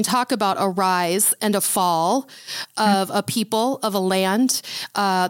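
A woman's voice: mid-range voice, clean tone, dry recording. Emphatic woman podcast host, dry sound. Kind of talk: podcast interview.

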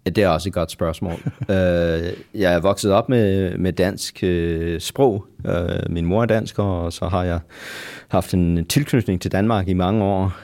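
A man with a very deep, mellow voice answers interview questions as a professor. His first language is English, and he pauses often, filling the gaps with halting sounds. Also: fairly even intonation. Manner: halting, many øh fillers. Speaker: professor guest.

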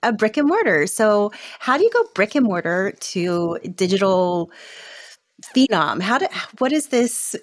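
A woman's voice: mid-range voice, very animated, a little slow, no room sound. A woman co-hosting a podcast who talks in a gushing, enthusiastic way and laughs often. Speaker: podcast co-host. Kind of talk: upbeat podcast intro and interview.

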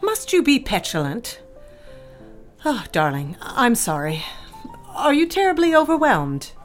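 A woman's voice: fairly high pitch, wildly swooping pitch, a little slow, faint music behind. An actress playing a genteel, controlling middle-aged society mother in an audio drama, fussy and pointed, breezily dismissive. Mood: chiding, fussing. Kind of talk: scripted audio drama acting.

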